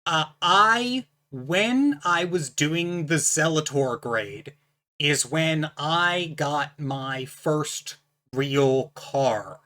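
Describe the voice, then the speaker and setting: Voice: mid-range voice, animated, drawn-out words, bright, forceful tone. A channel host with a powerful, distinct voice, explaining practice rules to viewers in a blunt, emphatic, lecturing way. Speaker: channel host reviewing a book. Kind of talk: casual explanatory talk to camera.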